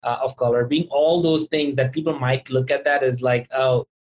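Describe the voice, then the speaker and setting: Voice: medium pitch, fairly even intonation, normal speed, a little mellow, lo-fi sound. A podcast host talking conversationally and reflectively, in an earnest, encouraging way, repeating phrases for emphasis. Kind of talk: conversational interview, reflective recap.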